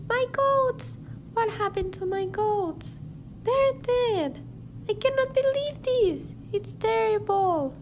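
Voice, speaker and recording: Worried farmer lady, played by a woman: very high voice, slow delivery, amateur radio play, noisy recording. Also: lively intonation, exaggerated voice. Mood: horrified grief. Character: worried farmer lady frightened for her killed goats. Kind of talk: amateur radio drama acting.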